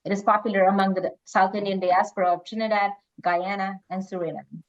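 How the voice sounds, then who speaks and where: A woman's voice: medium pitch, steady tone, a little fast. A woman hosting a quiz, reading out questions and chatting casually with the players. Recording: dull lo-fi online call audio.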